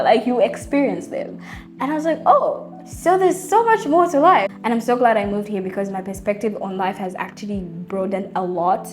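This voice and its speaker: A young woman with a Zambian accent chats to camera, answering viewer questions in a lively, friendly way. Her voice sits at a middle pitch, and she often breaks into laughter. Faint music plays underneath. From Zambia, Copper Belt. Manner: chatty, animated, laughs often. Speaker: vlogger. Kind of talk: casual Q&A to camera. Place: home room lit by daylight.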